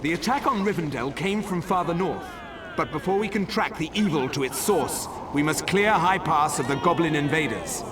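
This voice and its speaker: An actor playing an earnest elf war leader, giving formal, commanding mission dialogue in a fantasy game. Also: male voice, a little high, lively intonation, moderate pace, far from the mic, background noise, close to an everyday voice. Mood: resolute.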